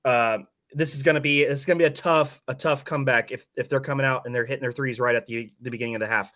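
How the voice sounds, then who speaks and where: Male sports podcast host, hoarse, medium pitch, casual and candid game-breakdown talk. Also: steady tone, quick pace, bright, forceful tone.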